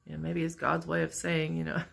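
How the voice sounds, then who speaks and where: A middle-aged woman with a raspy voice, talking candidly and a bit ramblingly in a casual personal vlog from her office at work. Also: sits low, big pitch swings, moderate pace, fairly bright tone. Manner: candid, rambling, self-deprecating. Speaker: vlogger.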